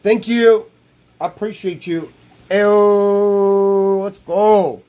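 A man's voice: sits high, steady tone, extremely slow, mellow. A man in his thirties live streaming from a small room over an earbud mic, talking in a brash, profane way and repeating himself often. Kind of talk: rambling live stream chat. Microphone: wired earbud headset mic.

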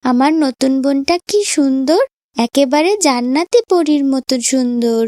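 A woman's voice: high voice, moderate pace, darkish tone, dry recording, clean studio sound, close to an everyday voice. A woman voicing a sweet, devout little girl in a cartoon, eager and affectionate like an adoring elder sister. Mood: delighted. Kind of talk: cartoon voice acting in moral story.

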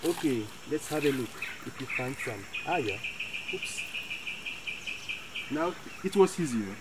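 A man's voice: medium pitch, animated, measured pace. A young man explaining things informally, searching for words in non-native English. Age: young adult. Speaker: local companion demonstrating fishing. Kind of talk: informal demonstration. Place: outdoors in jungle at a riverbank. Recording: clear insect and jungle noise.

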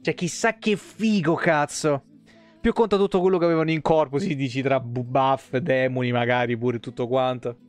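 A man's voice: medium pitch, steady tone, moderate pace. A man, a game streamer reading lore aloud with casual, irreverent asides. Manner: irreverent, foul-mouthed asides. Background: background music.

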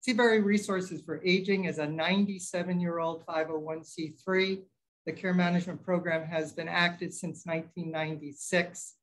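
A woman's voice: fairly low pitch, fairly even intonation, normal speed, low-quality recording. A chatty woman introduces herself to a room of colleagues. She talks freely and laughs at her own slips, heard through a camera across the room. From New York. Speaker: care manager. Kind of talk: self-introduction at a meeting. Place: senior living common room.

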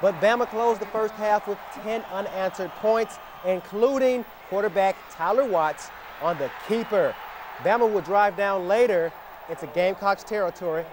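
A man with a high, bright voice calls football highlights like a news anchor, rising with excitement on the big plays. The broadcast sound is noisy behind him, with game audio underneath. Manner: excited play-by-play recap. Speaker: sports anchor. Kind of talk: sports highlight narration.

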